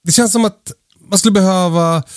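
A man's voice: high voice, no room sound, clean studio sound. A man co-hosting a podcast in casual chat, curious and informal, with brief questions and reactions. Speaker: podcast co-host asking questions.

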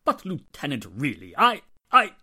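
An actor playing a nervous, prissy, evasive murder suspect in a 1940s-style radio drama, flustered and theatrically precise. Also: male voice, on the high side, huge pitch swings, moderate pace, pushed and bright, breathy edge, exaggerated voice.

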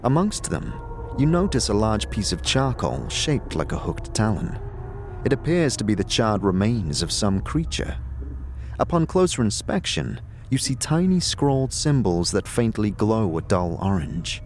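A man's voice: fairly low pitch, sing-song, a little slow, darkish tone, a little hiss or hum. A man narrating a scripted fantasy audio drama in a dramatic storytelling manner.

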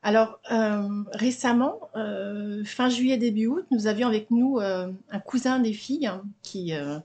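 A middle-aged French woman is a guest on a chat podcast. Her voice is mid-pitched. She explains steadily and pauses on fillers. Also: darkish tone. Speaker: guest, mother and business founder. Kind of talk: casual conversational interview.

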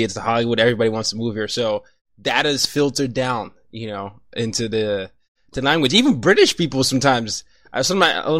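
A man's voice: mid-range voice, a bit bright, no echo, studio quality. A young man from Nigeria interviewing a guest in a casual, conversational way, laughing often and restarting his words.

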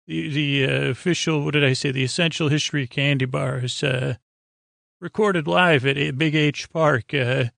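A man speaks in a gravelly, fry-laden voice, recorded very dry. He hosts a sleep podcast as a wandering monologue. His delivery is halting and whimsical, looping back on itself and leaving thoughts half finished. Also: on the high side, steady tone, fairly bright tone, no room sound. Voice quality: creaky. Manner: meandering, halting, repeats and trails off mid-thought; whimsical, silly tangents. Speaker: podcast host. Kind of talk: rambling bedtime podcast monologue.

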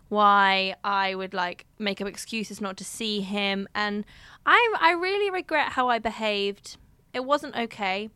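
A young woman chats candidly on a podcast, circling back and restarting her sentences. Her voice is bright and smooth, and the recording is dry and close. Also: mid-range voice, dry recording. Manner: candid, rambling with many restarts. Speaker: podcast host. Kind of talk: casual chat.

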